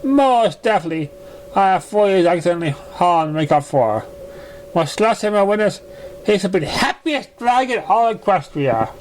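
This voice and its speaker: Genteel female pony dressmaker for a cartoon fan story, played by a man: raised pitch, affected, theatrical, drawn-out delivery. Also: big pitch swings, a little hiss or hum, poor audio. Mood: melodramatic.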